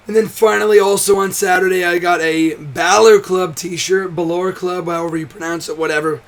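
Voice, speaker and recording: A hoarse, tired young man hosting a wrestling show, chatting casually to camera with a nearly gone voice, rambling, swearing and restarting often. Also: high-pitched, steady tone, normal speed. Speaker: wrestling commentary host. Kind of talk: casual to-camera vlog chat.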